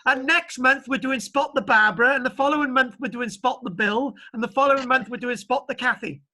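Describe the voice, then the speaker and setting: A man's voice: very high-pitched, fairly quick, bright, forceful tone. A man lecturing an online archaeology class, chatty and jokey, rambling a little as he talks. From Britain. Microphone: video call.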